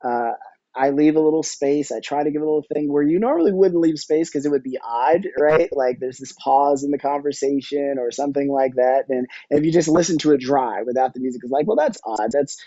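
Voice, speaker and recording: A man speaking as a podcast guest, explaining casually in a halting, hesitant way. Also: a little high, mellow, no echo, muffled recording. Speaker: podcast guest, audio drama producer and musician.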